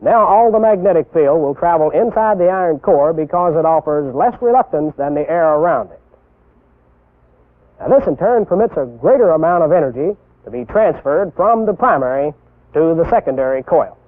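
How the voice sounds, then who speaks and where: A young American man giving scripted instructional narration as a military instructor, deliberate and didactic, posing rhetorical questions. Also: a little high, animated. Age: young adult. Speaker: military instructor presenting a training film. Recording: tinny band-limited 1960s film soundtrack.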